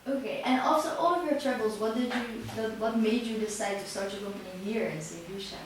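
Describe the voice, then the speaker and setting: A woman's voice: a little high, fairly quick, very echoey. Polite woman interviewer, short prompts, distant mic with faint hiss.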